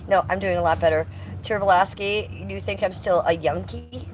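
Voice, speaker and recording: A woman's voice: mid-range voice, steady tone, normal speed. A woman in her fifties chatting casually on a livestream, teasing and sassy as she talks back to her viewers. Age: 50s. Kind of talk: casual livestream chat, reading and answering viewer comments. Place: outdoors in a yard by a fire. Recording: noisy live stream with ambient noise.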